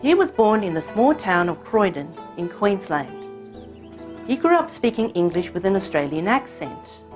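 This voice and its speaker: A woman raised in Hong Kong whose first language is Cantonese, telling her family's story in measured, reflective scripted narration. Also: mid-range voice, very animated, faint music behind. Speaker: narrator of her own short film.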